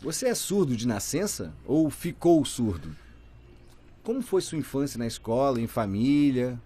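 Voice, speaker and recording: A man's voice: medium pitch, very animated, unhurried, mellow, slightly rough. A man voicing an interview host's signed questions in a conversational, inquisitive way. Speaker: voice interpreter for the host.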